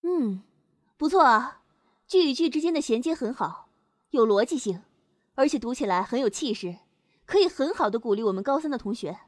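A young woman playing a composed high school student leader in an amateur audio drama. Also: expressive, normal speed, dry recording, studio quality. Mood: pleased.

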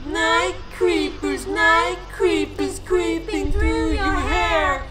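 A woman's voice: very high voice, extremely slow, over music, pitched above the performer's own voice, exaggerated voice. A woman voicing a taunting child who chants an eerie, sing-song nursery rhyme in a horror audiobook.

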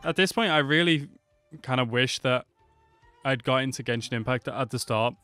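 A man's voice: mid-range voice, normal speed, pushed and bright. A man in his twenties giving casual, slangy reaction commentary, swearing freely, close on a desk microphone. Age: 20s. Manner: slangy, laid-back, swearing. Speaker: reaction video host. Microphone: close desk microphone with headset. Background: game trailer audio with music.

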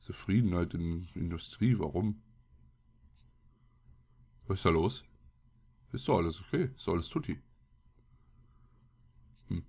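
Low-voiced man with a cold. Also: unhurried, vocal fry, pauses often, a little mellow, husky. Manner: colloquial, muttering to himself. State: has a cold, scratchy throat. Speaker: let's play host. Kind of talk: casual gameplay commentary.

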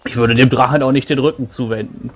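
A man's voice: medium pitch, steady tone, quick pace, natural voice. A man playing a battle-hardened, matter-of-fact dragon slayer, giving blunt improvised instruction in a tabletop fantasy game. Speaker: player in a tabletop roleplaying game. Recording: noisy recording with background ambience.

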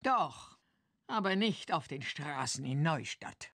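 A woman playing a dignified, cautious old head witch with a low, husky voice and formal manner in a children's game. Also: lively intonation, fairly bright tone, no echo, exaggerated voice. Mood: disapproving. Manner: formal, measured. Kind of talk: German children's audio-play acting in a game.

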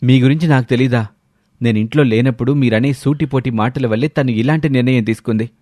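A man's voice: mid-range voice, a little fast, dark tone, no room sound, clean studio sound, natural voice. A man voicing a devoted, distressed young husband who speaks earnestly, in a narrated story. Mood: accusing.

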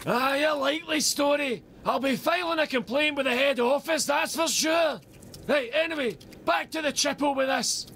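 A man's voice: very high-pitched, normal speed, fairly bright tone, a little hiss or hum. An actor playing a grumpy, blustering British tradesman in a cartoon game, speaking colloquially over music. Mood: indignant. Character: grumpy, accusing tradesman with endless odd jobs.

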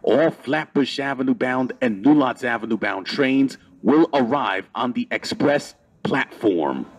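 A man reading scripted transit service announcements as an announcer, in a formal, measured manner. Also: medium pitch, animated, slightly rough.

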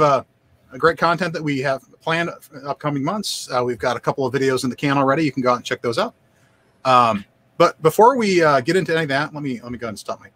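An older man, hosting a casual live stream in a halting, self-deprecating way. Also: medium pitch, fairly bright tone. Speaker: host, developer advocate. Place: indoor home room, on a video call.

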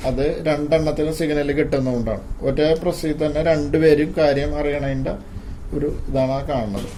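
A man giving an informal hands-on gadget demonstration, haltingly and repeating his phrases. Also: on the high side, steady tone, warm tone, a little hiss or hum. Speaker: tech video presenter.

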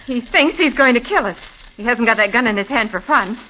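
A plucky, determined young woman in a 1940s radio drama. Her voice is bright and a little high. The recording is dull and lo-fi with faint hiss. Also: lively intonation, a little fast. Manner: eager, determined.

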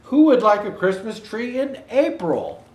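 A man's voice: sits high, huge pitch swings, unhurried. An older man in the role of a Santa storyteller, reading a children's picture book aloud expressively with asides to viewers. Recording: roomy indoor echo.

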